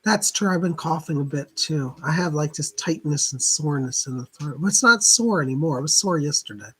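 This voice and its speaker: Hoarse guy w laryngitis, kinda high husky voice, chatty live stream host. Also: animated, a little fast, bright, forceful tone. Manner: chatty, greets viewers by name, keeps clearing his throat. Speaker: psychic hosting live readings. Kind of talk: casual live-stream chat.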